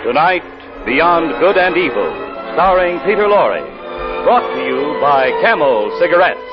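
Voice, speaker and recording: A man's voice: a little high, sing-song, unhurried, loud music behind the voice. A man announcing and selling in emphatic 1940s radio advertising style. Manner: emphatic salesman's delivery. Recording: dull, lo-fi 1940s radio recording.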